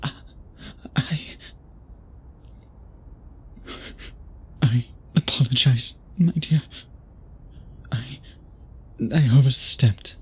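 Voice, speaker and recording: Man acting as a slow, breathy, tormented vampire suitor. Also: mid-range voice, a little mellow. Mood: apologetic, restrained. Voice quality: breathy, husky. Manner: formal, theatrical, halting speech with dramatic pauses. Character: obsessed, tormented male vampire suitor, intimate and possessive. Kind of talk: intimate ASMR roleplay acting.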